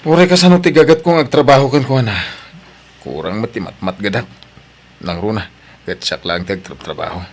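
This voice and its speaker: A man's voice: on the low side, moderate pace. A man playing a hard-working husband and father in need of a job, in an Ilocano radio drama with an Ilocano Filipino accent. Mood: resigned.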